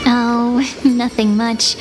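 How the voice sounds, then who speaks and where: An actress playing a gentle, playful young village girl in an anime-style game, affectionate and motherly in her teasing. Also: on the high side, flat delivery, very slow, rough recording. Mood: wistful. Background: music.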